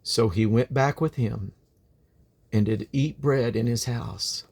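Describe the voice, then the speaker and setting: A man's voice: mid-range voice, slow, vocal fry, a little mellow. A man reading the Bible aloud as a dramatic narrator, declaiming quoted speech with emphasis. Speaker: Bible reader.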